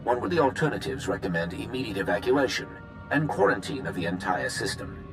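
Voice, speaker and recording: An actor playing a smug, slippery male corporate executive who talks in a suave, sarcastic, drawn-out way. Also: on the low side, animated. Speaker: corporate representative. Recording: game cutscene with music behind.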